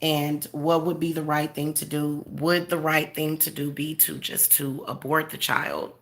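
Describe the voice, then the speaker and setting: A woman speaking as a spiritual medium in a casual monologue, congested, halting with many fillers and restarts, earnest and tender. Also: sits low, level tone, a bit bright.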